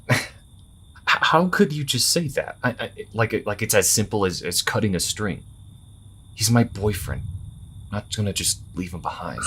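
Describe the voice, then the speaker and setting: A man's voice: fairly low pitch, lively intonation, measured pace, pauses often, a bit bright, a little hiss or hum. A man playing an earnest, conflicted young priest in a fantasy audio drama, hesitant and prone to stammering. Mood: upset, pleading. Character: earnest, conflicted twenty-two-year-old male priest.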